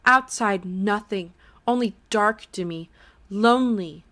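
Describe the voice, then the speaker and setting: A woman's voice: medium pitch, animated, unhurried, a bit bright, voice pushed up. A woman voicing an elderly mother who pleads tearfully in broken English. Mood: tearful pleading.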